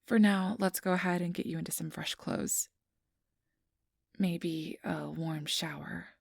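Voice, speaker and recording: A woman playing a kindly, apologetic registration clerk in an ASMR roleplay, speaking softly and often in a whisper with a gentle, reassuring manner. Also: on the low side, steady tone, a little slow, no room sound, clean studio sound, full character voice. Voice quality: soft, breathy, often whispered. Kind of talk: ASMR roleplay, soft whispery speech to the listener.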